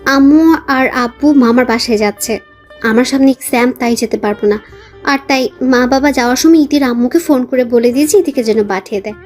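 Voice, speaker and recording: Woman acting a high-voiced, familiar older sister. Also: a little fast, darkish tone, faint music behind, natural voice. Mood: matter-of-fact. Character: teasing, caring older-sister young woman. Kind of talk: Bengali romantic audio story acting.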